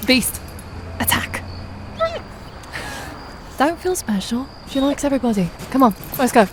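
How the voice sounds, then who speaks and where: A woman acting a young, sardonic and guarded daughter in a naturalistic audio drama, with dry, deadpan teasing. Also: sits high, unhurried. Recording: noticeable background noise and ambience.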